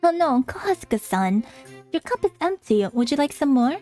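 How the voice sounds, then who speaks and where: Polite, attentive schoolgirl hostess for a visual novel, put on by a woman, higher pitch. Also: big pitch swings, moderate pace, warm tone, soft background music. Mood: politely attentive. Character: polite, eager-to-please schoolgirl tea hostess.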